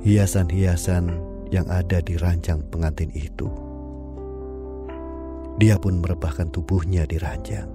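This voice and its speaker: A man narrating an audio drama in a calm, measured storytelling manner. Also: sits low, creaky.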